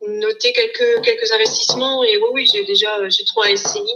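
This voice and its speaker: A woman from the Hauts-de-France who works as a real estate agent, explaining her services to a prospective client slowly and with many fillers. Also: fairly high pitch, big pitch swings, bright, forceful tone, lo-fi sound, a little hiss or hum. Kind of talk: sales call explanation.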